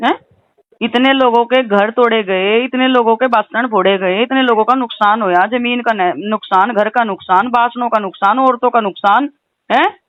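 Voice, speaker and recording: A woman's voice: on the high side, moderate pace, fairly bright tone, dry recording. A woman with a western Uttar Pradesh accent talks on a recorded phone call in an insistent, aggrieved way, often adding questioning tags. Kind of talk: heated phone conversation.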